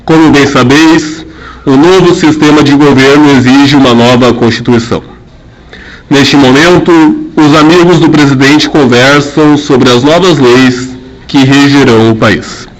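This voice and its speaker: A man's voice: mid-range voice, rough recording. Man narrating a radio drama formally. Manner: formal, measured storytelling.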